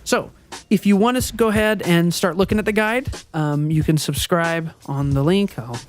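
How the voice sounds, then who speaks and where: High, boyish-sounding man rambling through updates. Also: normal speed, soft background music. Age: adult. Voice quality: high-pitched, youthful-sounding voice. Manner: rambling, hesitant, many ums and self-corrections. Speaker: channel owner and video editor. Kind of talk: casual channel update monologue. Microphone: voiceover recorded before the gameplay footage.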